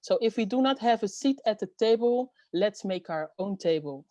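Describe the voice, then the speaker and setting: A woman's voice: medium pitch, animated, normal speed, dark tone, no echo. Middle-aged woman being interviewed, earnest, lots of ums, dry close sound. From Netherlands. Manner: earnest, hesitant with many fillers. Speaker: guest, storyteller and event host. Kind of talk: interview answers.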